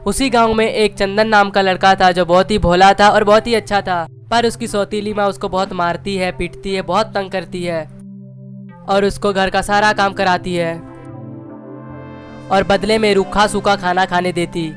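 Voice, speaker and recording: A woman's voice: mid-range voice, steady tone, normal speed. A woman reading a scripted children's story as its narrator. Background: music.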